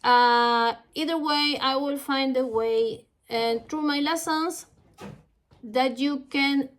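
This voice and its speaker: A woman with a Spanish accent, a native Spanish speaker, giving a casual introduction to her language lessons with many pauses and fillers. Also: high voice, fairly bright tone. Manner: halting, many fillers and restarts. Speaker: Dutch language teacher.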